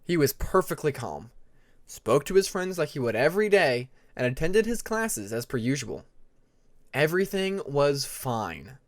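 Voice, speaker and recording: Expressive higher-voiced male storyteller. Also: unhurried, no room sound, clean studio sound. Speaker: audiobook narrator. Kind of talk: dramatic scripted fiction narration.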